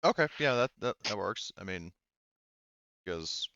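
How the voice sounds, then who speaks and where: A man with a smooth, clear voice on the low side runs a tabletop game as game master. He talks casually and haltingly, feeling his way through rulings. The sound is close and dry, a little dull. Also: sing-song, sharp tone, no echo.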